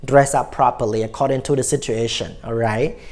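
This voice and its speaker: A man's voice: mid-range voice, steady tone, a little fast, darkish tone. A man with a Cambodian accent teaching English phrases in a casual, repetitive way, often checking in with "all right?". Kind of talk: casual spoken lesson.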